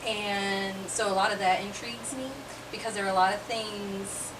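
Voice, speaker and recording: Woman, thirties, chatty, eager, mid pitch, distant mic, clear background noise. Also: flat delivery, normal speed. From southern West Virginia. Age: 30s. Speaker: team historian. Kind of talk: casual interview answers.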